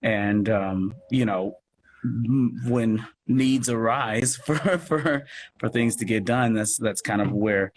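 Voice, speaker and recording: A man's voice: fairly low pitch, takes it slowly. An American man talking casually as an interview guest, in a rambling, self-effacing way. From United States. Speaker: guest, audio drama producer and actor. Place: live social media video call. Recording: noisy live video-call audio.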